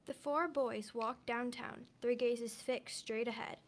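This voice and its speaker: A woman's voice: fairly high pitch, fairly even intonation, a little slow, slight background noise. A young woman reading scripted narration for an amateur radio drama. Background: music with guitar under the voice.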